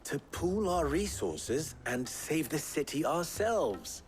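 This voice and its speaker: A man playing a shrewd, measured town leader in a medieval fantasy game, speaking in a deliberate, wry, theatrical way. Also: fairly high pitch, very animated, faint music behind. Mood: resolved. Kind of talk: dramatic video game cutscene dialogue.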